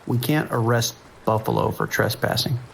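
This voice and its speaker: A man playing a calm, wry sheriff's dispatcher with a dry, reassuring manner in an audio drama. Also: medium pitch, moderate pace, creaky, heavy background noise, natural voice. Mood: patient, reassuring. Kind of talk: naturalistic audio drama acting.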